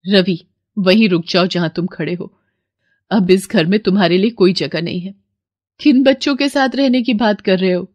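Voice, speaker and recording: A woman voicing a young, hardworking mother who makes and sells bangles in an animated story. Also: mid-range voice, normal speed, natural voice. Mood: stern.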